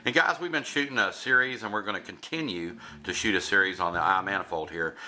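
A man's voice: sits low, fast, sharp tone. A man with a Kentucky accent hosting a hands-on tech tutorial in a folksy, enthusiastic, repetitive way. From Kentucky, USA. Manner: folksy, enthusiastic, repeats himself. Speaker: HVAC contractor hosting tech tip videos.

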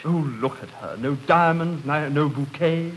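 An actor playing a wild, impulsive young English officer in a 1950s radio drama. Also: male voice, fairly high pitch, measured pace, natural voice, rough recording. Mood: scornful. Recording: hissy old radio recording.

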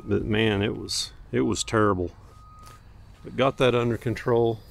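A man's voice: on the low side, fairly even intonation, measured pace, creaky. A man giving a casual, unscripted garden tour outdoors as a homestead vlogger, in a folksy, plainspoken way. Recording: outdoor recording with faint ambient noise.

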